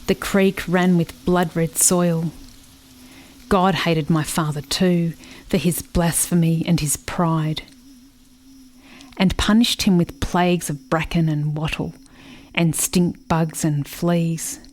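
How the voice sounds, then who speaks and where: A woman acting in a radio play as a haunted, grieving daughter recalling a rural childhood in a measured, solemn monologue. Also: on the low side, fairly even intonation, a little mellow. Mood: bitter, grieving. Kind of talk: reflective dramatic monologue.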